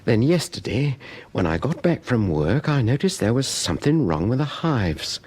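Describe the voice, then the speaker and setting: A put-upon British husband in a radio thriller. His mid-pitched voice moves animatedly through earnest, aggrieved delivery. He sounds middle-aged and exasperated. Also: sing-song, moderate pace, darkish tone, slightly rough. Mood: recounting. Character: put-upon beekeeping husband, recounting events with grievance. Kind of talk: radio drama acting and first-person narration.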